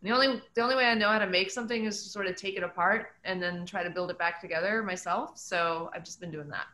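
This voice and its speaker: A woman's voice: on the low side, fast, sharp tone. A middle-aged woman from New Mexico with an American accent, talking casually as a guest author. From New Mexico, United States. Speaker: author, guest at a book talk. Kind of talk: casual chat. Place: indoor room, on a video call. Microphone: computer mic on a video call. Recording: echoey room on video call.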